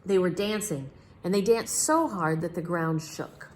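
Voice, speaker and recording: A woman reading a children's story aloud as a storyteller, with expressive, measured phrasing. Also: on the low side, slight background noise.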